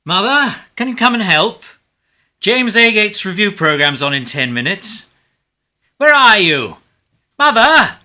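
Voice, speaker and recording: A man's voice: sits high, big pitch swings, measured pace, pauses often, bright, forceful tone, heavily acted. A man playing a modest, genial, wry English playwright in a radio play. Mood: hurried, excited. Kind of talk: radio drama acting and reminiscence.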